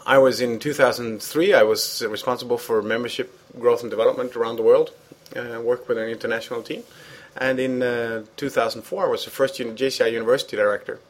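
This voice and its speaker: A man's voice: mid-range voice, fairly even intonation, fast talker. A man whose first language is Danish, from Denmark, speaking English as an interview guest, hesitant with many fillers and restarts. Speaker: guest, former international organization president. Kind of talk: conversational interview.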